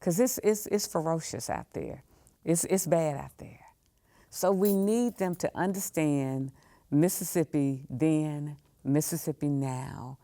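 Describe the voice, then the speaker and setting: A woman's voice: sits low, slow pace, dark tone, no echo. An older woman giving conversational interview answers as a guest, emphatic and repeating phrases for weight. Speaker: museum professional guest.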